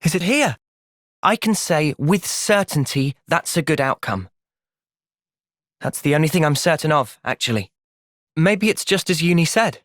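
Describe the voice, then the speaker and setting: Earnest young soldier hero from a video game, reflective and measured, very lively pitch, dry studio sound. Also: male voice, dry recording. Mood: calm, pensive. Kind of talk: video game cutscene acting.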